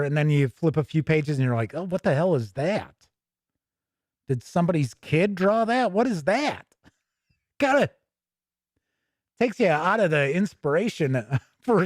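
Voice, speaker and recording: Guy doing a casual gaming stream, kinda high voice, folksy and chatty, really dry close sound. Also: a little mellow, dry recording. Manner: folksy, chatty, with jokey turns of phrase. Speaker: YouTube host of a tabletop gaming show. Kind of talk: casual livestream sign-off chat.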